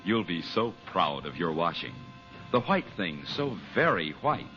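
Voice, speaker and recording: Male American radio announcer, lowish voice with lively swoops, persuasive vintage ad read, music behind. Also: unhurried. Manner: polished, persuasive, emphatic. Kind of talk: 1930s-40s radio commercial reading. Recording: muffled old radio broadcast recording.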